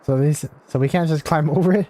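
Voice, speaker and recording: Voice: mid-range voice, fairly quick, mellow. A game streamer giving casual gameplay commentary, thinking aloud. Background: game music.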